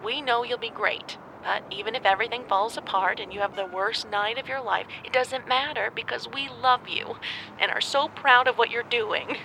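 A woman's voice: on the high side, moderate pace, creaky, bright, forceful tone, a little hiss or hum, rough recording. An actress playing a proud, awkward middle-aged mother leaving an earnest, over-explaining voicemail. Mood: proud, affectionate. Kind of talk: voicemail message in audio drama.

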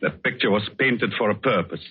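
An actor playing a vengeful, controlled man holding a pistol, speaking deliberately and accusingly in old-time radio suspense style. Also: on the low side, expressive, a little hiss or hum, close to an everyday voice. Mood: grim, menacing. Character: vengeful, coldly controlled man with a pistol, long-waiting avenger. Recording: muffled old radio drama recording.

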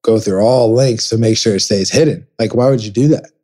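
A man's voice: fairly low pitch, brisk, mellow. A young man from Texas, a podcast guest chatting casually and telling rambling stories with acted-out quotes. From Dallas, Texas. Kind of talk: casual chat.

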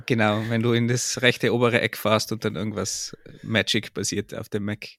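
A man's voice: fairly low pitch, flat delivery, normal speed, vocal fry, a bit bright, no echo, clean studio sound. A man with an Austrian accent, chatting casually as the co-host of a podcast.

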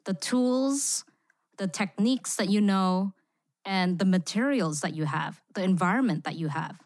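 A woman's voice: mid-range voice, measured pace, dry recording. Reflective woman with a dry, close sound. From born in Indonesia, raised in Singapore. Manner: reflective, frequent "you know" fillers. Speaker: guest, immersive experience writer. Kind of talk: podcast interview.

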